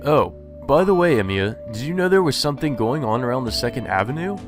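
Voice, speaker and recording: A man voicing a polite high school boy, a priest's son with formal phrasing, reading visual novel dialogue aloud. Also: lively intonation, fast talker, close to an everyday voice. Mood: serious. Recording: background music under the voice.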